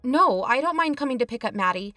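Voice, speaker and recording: A woman's voice: high-pitched, animated, brisk, sharp tone, soft background music. A woman acting as a caring, level-headed peacemaker in an audio drama, speaking in a plain, conversational way. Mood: worried, soothing. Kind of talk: scripted audio fiction dialogue.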